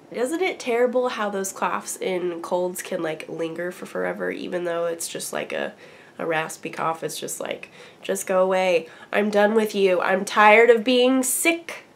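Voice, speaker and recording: Young female host, congested, casual and chatty, mid pitch. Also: gravelly, a little hiss or hum. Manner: chatty, lots of ums and likes. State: congested, getting over a cold. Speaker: knitting podcast host. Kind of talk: casual chat to camera.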